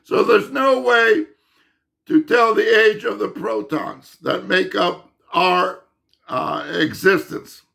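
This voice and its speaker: A man explaining physics in an unscripted monologue, insistent and emphatic, halting with fillers and restarts, and losing his voice. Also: sits high, steady tone, vocal fry, pushed and bright. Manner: insistent, emphatic, repeats himself. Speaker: channel host explaining physics.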